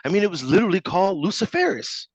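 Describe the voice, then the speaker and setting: A man in casual group discussion over an online call, talking in animated bursts with many fillers and laughs. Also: high voice, rapid-fire, husky, no room sound.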